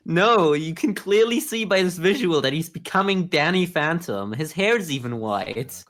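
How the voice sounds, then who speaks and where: A man's voice: on the high side, lively intonation, normal speed, fairly bright tone. A man giving casual, sarcastic, joking reaction commentary on an anime as a podcast co-host.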